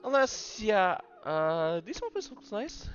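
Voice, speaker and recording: A man giving casual gameplay commentary in a halting, rambling way, often repeating his phrases. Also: high voice, very animated, muffled recording, music in the background. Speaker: gamer commenting on his own play.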